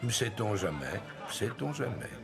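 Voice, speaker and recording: A low-voiced cartoon innkeeper, middle-aged and genial, with a lively, swinging delivery. He teases familiarly and keeps a canny edge, with music clearly playing behind him. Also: a little slow. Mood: bantering. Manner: jovial, familiar, teasing. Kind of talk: French cartoon dub acting.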